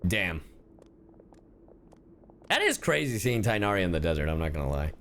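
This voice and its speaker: A man's voice: sits low, very animated, moderate pace, a bit bright, a little hiss or hum. A man doing live game reaction commentary, swearing freely and bantering in exclamations. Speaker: streamer and voice actor. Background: game music.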